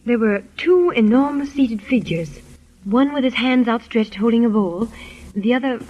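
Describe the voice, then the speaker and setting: An actress playing a young schemer who sweetly feigns innocence in a polite, demure way, in 1930s radio serial style. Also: female voice, a little high, lively intonation, normal speed, a little mellow. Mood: hushed storytelling. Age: young adult. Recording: husky, muffled old 1930s radio recording.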